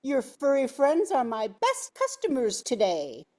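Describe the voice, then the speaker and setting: Woman as a cheery beach ice cream vendor, generous, high voice, drawn-out calls, radio drama. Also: wildly swooping pitch, a little mellow, full character voice. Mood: cheerful. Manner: calling out wares. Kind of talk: amateur radio play acting.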